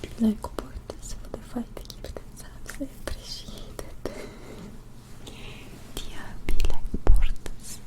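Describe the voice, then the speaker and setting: A woman with a Russian accent hosting an ASMR livestream in a soft, breathy whisper, speaking deliberately and repeating phrases.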